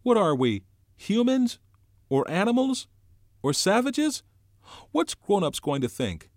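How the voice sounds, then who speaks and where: Man acting a shrill, indignant schoolboy. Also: big pitch swings, takes it slowly, darkish tone, no room sound, studio quality, full character voice. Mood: shrill indignation. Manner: indignant, ungrammatical exclaiming.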